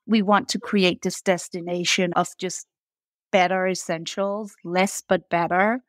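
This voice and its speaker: Low Danish-accented woman, dry close mic. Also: fairly even intonation, unhurried, no echo. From Denmark. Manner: warm, earnest, repeats words when starting a thought. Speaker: guest, company founder. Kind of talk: casual interview.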